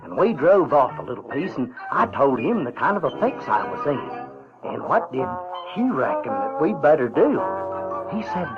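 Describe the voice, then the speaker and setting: A folksy runaway boy from the American South, narrating an audio drama. The voice is fairly high and swings with the story, stumbling and repeating words. Music plays prominently behind it. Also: very animated. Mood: storytelling. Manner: halting, colloquial, repeats words. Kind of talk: first-person storytelling in radio drama. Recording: dull 1970s recording with music.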